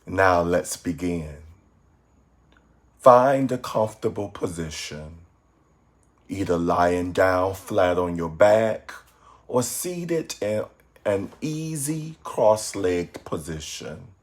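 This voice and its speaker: A man leading a guided meditation from a script in a calm, measured, soothing way with pauses. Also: sits low.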